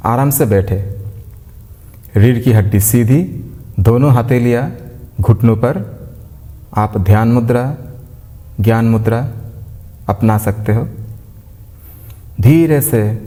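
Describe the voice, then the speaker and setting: A man guides a devotional meditation in a mellow, medium-pitched voice. He speaks very slowly and gently, in short phrases with room to breathe between them. Long reverb surrounds his words. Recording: long reverb, like a large hall.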